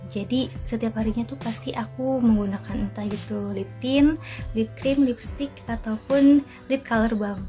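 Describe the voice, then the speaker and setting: A woman's voice: a little high, warm tone. A 22-year-old woman giving a personal testimonial about herself. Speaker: student and freelancer.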